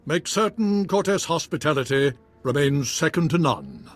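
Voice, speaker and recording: Haughty baron with expressive pitch. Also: male voice. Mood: stern, wary. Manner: formal, measured, imperious. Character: haughty nobleman baron, cautious and commanding. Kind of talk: dramatic video game acting. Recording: music behind the voice.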